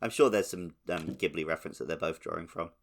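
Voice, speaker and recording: A man's voice: low voice, fast talker, vocal fry. A man co-hosting a podcast, talking casually about video games. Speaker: podcast co-host.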